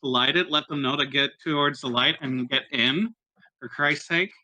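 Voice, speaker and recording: A man's voice: on the high side, moderate pace, bright, forceful tone, no echo, rough recording. A man playing a tabletop roleplaying game, chatting casually about his moves.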